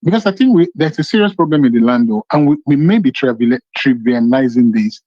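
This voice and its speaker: Male phone-in caller, Nigerian Yoruba accent, very animated, emphatic, dry lo-fi phone sound. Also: medium pitch, fairly quick, dry recording. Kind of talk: impassioned political phone-in commentary. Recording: muffled, band-limited phone call.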